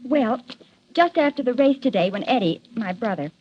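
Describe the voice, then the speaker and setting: A woman's voice: fairly high pitch, lively intonation, normal speed, slightly rough. An actress playing an anxious young woman, earnest and worried, in old radio drama style. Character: anxious young woman pleading for her brother. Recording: dull old radio recording.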